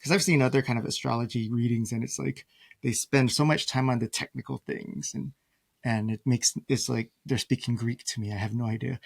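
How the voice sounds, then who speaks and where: A man's voice: mid-range voice, steady tone, fairly quick, gravelly, no room sound. A man hosting a podcast, speaking in a casual conversational way with an appreciative, complimentary manner. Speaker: podcast host.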